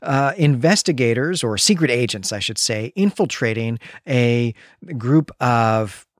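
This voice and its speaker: Male podcast co-host, mid-pitched, chatting analytically about literature, recorded dry. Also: expressive, a little slow, dry recording. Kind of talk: conversational literary discussion.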